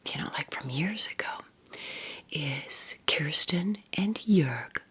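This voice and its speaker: A woman whispering casual ASMR recommendations in a breathy, husky voice, with an unhurried, affectionate manner. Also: deep voice, sharp tone. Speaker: ASMR content creator.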